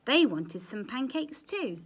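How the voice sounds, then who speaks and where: A woman reading a children's story aloud with expressive, dramatic emphasis, as a storyteller addressing young listeners. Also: high-pitched, slow pace, slight background noise. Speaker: storyteller, teacher.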